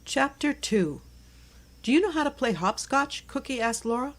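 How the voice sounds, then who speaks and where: A woman's voice: high-pitched, expressive, moderate pace. Woman narrating a children's storybook. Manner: clear simple phrasing for young children. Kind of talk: scripted children's read-aloud narration.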